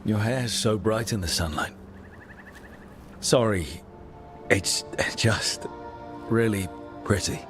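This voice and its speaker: A man playing an easygoing, encouraging, gently teasing adventuring hero in a video game, speaking naturally. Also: on the low side, takes it slowly, long pauses. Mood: tender, flirting. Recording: game music behind the voice. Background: game music.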